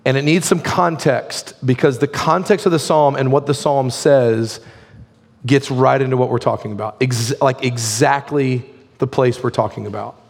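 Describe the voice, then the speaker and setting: A man preaching a sermon as a pastor, his voice hoarse and his delivery conversational, full of "like" fillers. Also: medium pitch, steady tone, normal speed, long reverb tail.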